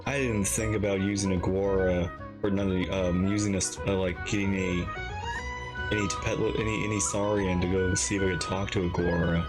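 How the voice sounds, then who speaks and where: A man giving casual live game commentary as a streamer, halting and rambling as he thinks aloud. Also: low voice, little pitch movement, loud music behind the voice. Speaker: reaction streamer.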